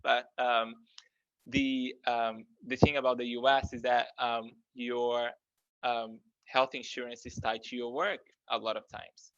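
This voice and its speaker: Brazilian-accented man, bright voice, halting with fillers; dull dry recording. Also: medium pitch, little pitch movement, dry recording. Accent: Brazilian Portuguese. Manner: hesitant, heavy with "um" and "you know" fillers. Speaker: financial research guest. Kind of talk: explanatory conversational talk.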